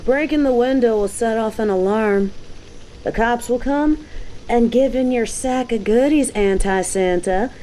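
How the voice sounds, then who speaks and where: A woman's voice: fairly high pitch, unhurried, warm tone, slight background noise, lightly acted, poor audio. A woman playing a cocky, dominant bounty hunter in an audio roleplay, with a mocking, taunting, slangy delivery. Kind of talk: scripted roleplay audio drama.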